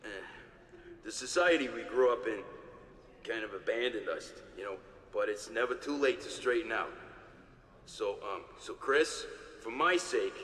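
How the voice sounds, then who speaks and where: A man's voice: mid-range voice, fairly bright tone, close to an everyday voice. An actor playing a captured young rebel man under duress in a video game, speaking to the public. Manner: halting, stammering. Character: captured young American rebel reading a coerced confession. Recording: echoey hall, faint noise.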